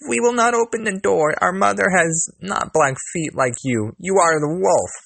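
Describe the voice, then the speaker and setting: Guy doing little goat kids from a fairy tale, way higher voice, defiant and protesting. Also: moderate pace, gravelly, fairly bright tone, no echo, exaggerated voice. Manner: crying out, protesting.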